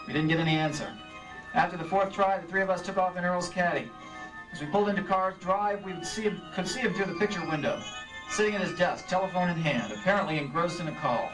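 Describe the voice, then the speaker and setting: Casting: male actor as probing insurance investigator, vintage radio drama, fairly high voice, set back from the mic. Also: fast talker, close to an everyday voice. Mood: suspicious narrating. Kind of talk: old-time radio detective acting and narration. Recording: distant stage mics, music behind.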